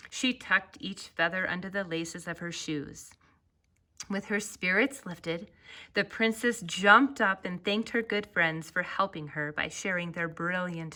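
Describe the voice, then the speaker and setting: A woman narrating a children's story as its author, reading expressively and deliberately. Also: fairly low pitch. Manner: expressive, deliberate, drawn-out phrase endings.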